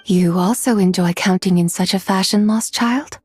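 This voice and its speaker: A woman voicing a lonely, gentle, mysterious young woman in a video game, speaking softly, haltingly and dreamily. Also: medium pitch, natural voice. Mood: wistful, hesitant. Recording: faint music behind the voice. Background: soft game music.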